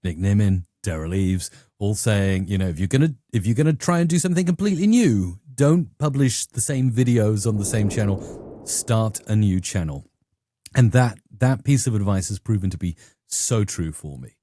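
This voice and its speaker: A middle-aged man with a southern English accent, telling stories conversationally as an interview guest, often acting out quotes in an animated manner. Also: lowish voice, moderate pace, slight background noise. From England. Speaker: YouTube educator and interview guest.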